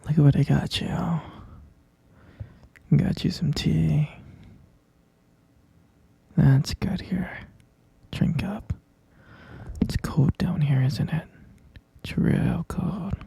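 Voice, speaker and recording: A dark, hushed male yandere boyfriend, played by a man in an intimate ASMR roleplay, very gravelly and breathy. He coaxes slowly and possessively, doting on his captive with soft menace. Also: on the high side, no room sound, exaggerated voice. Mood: coaxing. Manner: coaxing, repetitive, pet names, slow lingering delivery.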